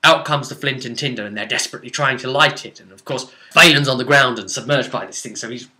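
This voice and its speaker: A man with a bright, forceful voice tells the story of a tabletop game he ran. His delivery is casual and rambling, with dramatic descriptions of the action, at a middling pitch. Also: fast talker. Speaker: game master recapping a tabletop role-playing session.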